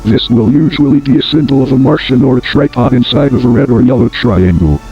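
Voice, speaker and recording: Deadpan man as a lo-fi instructional tape announcer. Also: mid-range voice, close to an everyday voice. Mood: grave, detached. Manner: formal, measured, deadpan. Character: grave male announcer of an emergency survival tape. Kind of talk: scripted instructional tape narration, analog horror. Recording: dull lo-fi old tape, music behind.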